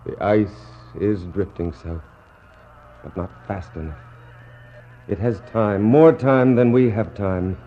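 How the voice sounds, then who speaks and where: An actor playing a weary, bitter, fatalistic Victorian naval officer stranded on an expedition, measured and wry in a 1960s radio play. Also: male voice, lowish voice, slight background noise. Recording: muffled 1960s radio recording.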